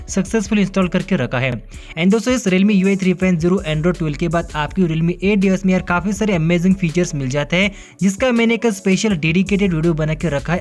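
A man narrating a hands-on phone feature walkthrough in an upbeat way, mixing English words into his Hindi. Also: sits high. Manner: upbeat, repeats filler phrases, mixes English words into Hindi. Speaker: tech reviewer. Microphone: off-camera voice-over over hands-on phone footage.

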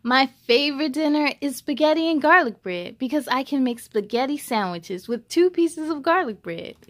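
A young American woman hosting a children's story time, reading aloud expressively with playful, animated delivery and friendly asides. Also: high-pitched, normal speed, no room sound. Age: young adult. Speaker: host of a children's read-aloud show.

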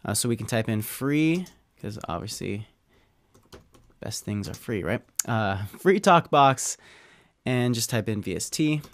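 A man talking through a software tutorial as an audio engineer, in an easygoing, conversational way with informal asides. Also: mid-range voice, animated, unhurried, dry recording. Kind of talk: casual software tutorial talk.

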